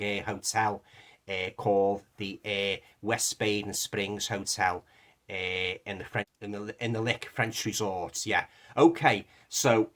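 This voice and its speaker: A man's voice: fairly low pitch, fairly bright tone. A man with a Liverpool accent, throaty from a lingering cough, casually reviewing a movie with lots of fillers and restarts. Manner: rambling, many fillers and restarts. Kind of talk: casual film review vlog.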